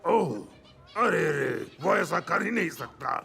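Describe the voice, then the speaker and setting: Gravelly, forceful cartoon schemer. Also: male voice, fairly high pitch, expressive, slow pace, faint music behind, heavily acted. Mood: blustering. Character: blustering, oily man who keeps monkeys. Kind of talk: Hindi cartoon dubbing.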